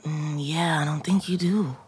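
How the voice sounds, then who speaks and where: A woman voicing a skeptical teenager in a comedy sketch, dry, hesitant and deadpan. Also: sits low, moderate pace, slightly rough. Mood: doubtful. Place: podcast studio. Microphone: close studio microphone.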